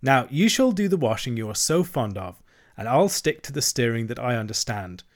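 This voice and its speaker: A man's voice: mid-range voice, very animated, normal speed, close to an everyday voice. A man voicing a hearty, shrewd middle-aged barge woman who speaks politely with a sly edge.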